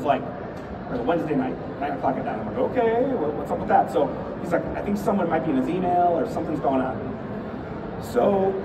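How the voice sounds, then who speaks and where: A man giving a conference session, telling stories in a casual way with many "right?" and "okay?" asides, clearing his throat as he loses his voice a little. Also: a little high. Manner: conversational storytelling, frequent "right?" and "okay?". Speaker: conference presenter. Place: conference hall. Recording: echoey hall, mic far off, loud background noise.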